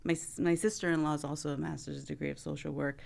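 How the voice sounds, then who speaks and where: Gravelly low-voiced woman therapist host. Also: flat delivery, quick pace, darkish tone. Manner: chatty, heavy use of "like", laughs often. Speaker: host, marriage and family therapist. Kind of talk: casual podcast conversation.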